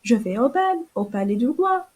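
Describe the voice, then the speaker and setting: A woman voicing a sweet, hopeful young girl in a storybook cartoon, with a soft, eager manner. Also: on the high side, big pitch swings, normal speed, slightly rough.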